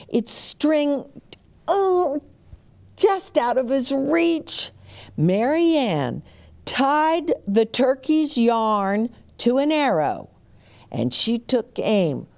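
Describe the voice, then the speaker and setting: Lady doing kids story time, takes it slow, voice swooping up and down, little asides to the kids. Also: fairly high pitch. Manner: animated, explaining to young listeners. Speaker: storyteller reading picture books aloud. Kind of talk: expressive children's read-aloud with chatty asides.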